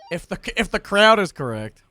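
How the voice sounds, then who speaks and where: A man's voice: sits high, very animated, normal speed, bright, forceful tone, no room sound. A young man from North Wales chatting casually to his stream while playing a roleplay game, rambling with little self-corrections.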